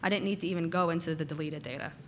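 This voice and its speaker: A woman giving a casual technical conference talk, slightly hoarse from a cold and using lots of "uh" and "um" fillers. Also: lowish voice, rapid-fire, a little hiss or hum. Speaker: conference speaker. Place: conference hall.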